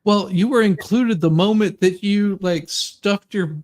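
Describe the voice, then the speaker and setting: A woman's voice: medium pitch, a little slow, a little mellow. A woman co-hosting a comedy podcast, talking casually and bluntly, joking as she comments and reads aloud. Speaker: podcast co-host.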